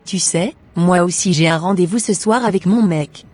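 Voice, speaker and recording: A woman's voice: lowish voice, moderate pace, darkish tone, husky, soft background music. A woman voicing a gossiping teenage schoolgirl who dismisses a classmate. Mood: gossipy.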